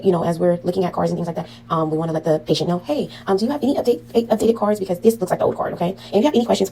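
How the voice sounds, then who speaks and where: Gravelly, quick-talking woman teaching a class. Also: on the low side, warm tone, low-quality recording. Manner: chatty, frequent "um" and "okay?" check-ins. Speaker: medical billing instructor. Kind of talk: casual online class lecture.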